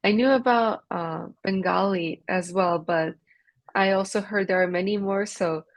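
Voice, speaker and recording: A woman's voice: medium pitch, measured pace, no echo. American woman tutor, dry close sound. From USA. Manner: friendly, asks questions, laughs often. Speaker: English tutor. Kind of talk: casual conversation practice. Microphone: video call.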